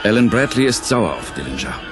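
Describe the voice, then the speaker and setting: A man's voice: lowish voice, very animated, fairly quick, over music. A man narrating a scripted audio play in a dramatic storytelling style.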